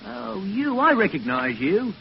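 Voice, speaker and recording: An actor playing a brisk, exclamatory police sergeant in an old 1940s radio drama. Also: male voice, high-pitched, very animated, vocal fry, full character voice. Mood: astonished. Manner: exclamatory, colloquial British slang. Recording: muffled, hissy 1940s radio recording.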